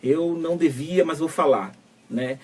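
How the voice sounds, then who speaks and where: A Brazilian man co-hosting a live web radio talk show, asking framing questions in a measured, teasing way. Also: a little high, a little mellow, recorded from a distance, slight background noise. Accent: Brazilian Portuguese. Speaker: co-host psychologist.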